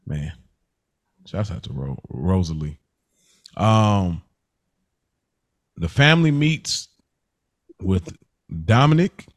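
A man's voice: sits low, animated, slow, pauses often. A man co-hosting a casual TV review podcast, talking in a slangy, conversational way. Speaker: podcast co-host.

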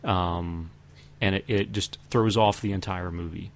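A man's voice: low voice, steady tone, measured pace. A man talking casually as a podcast co-host, thinking aloud with some hesitation. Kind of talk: casual film discussion.

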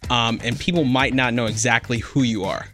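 A man's voice: mid-range voice, moderate pace, sharp tone, soft background music. A man hosting a food podcast in a casual, upbeat, promotional way. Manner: upbeat, promotional, sing-song plugs. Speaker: podcast host.

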